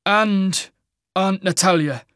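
Man playing a young male king, earnest, urgent, insistent, higher pitch, dry sound, fantasy audiobook. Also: slow pace, a bit bright, no echo. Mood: resolute. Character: young warrior king, earnest, desperate, pleading son. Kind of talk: dramatic fantasy audiobook character.

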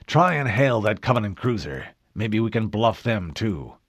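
A man voicing a gruff, commanding older male admiral who barks orders. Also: fairly low pitch, sing-song, normal speed. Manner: barking orders, forceful.

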